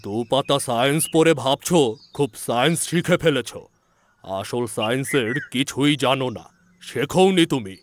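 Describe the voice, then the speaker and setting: A man's voice: medium pitch, expressive, moderate pace, slight background noise, lightly acted. A man voicing an argumentative older male herbal doctor in an audio story, emphatic and condescending. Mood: indignant, scolding.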